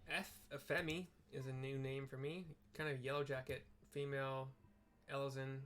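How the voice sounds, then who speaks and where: A man's voice: medium pitch, flat delivery, normal speed, fairly bright tone. Offhand man narrating a game. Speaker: gaming video creator. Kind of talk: casual game playthrough narration with descriptions.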